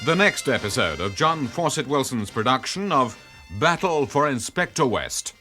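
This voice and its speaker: Animated male radio announcer over music. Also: mid-range voice, moderate pace. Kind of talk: dramatic scripted announcement. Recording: music under the voice.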